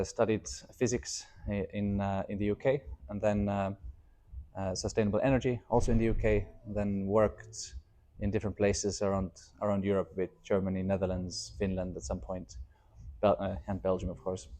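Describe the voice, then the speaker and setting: Founder guest, male, 30s, soft dark timbre, measured and hesitant interview delivery. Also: on the low side, little pitch movement. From Estonia. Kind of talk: reflective interview answers.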